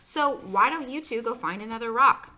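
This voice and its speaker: Storybook girl of grade-school age, played by a woman: pushy, eager, sharp-edged, sing-song and swooping. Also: fairly high pitch, expressive, normal speed. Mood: bossy. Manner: sing-song taunts and insistent pleading.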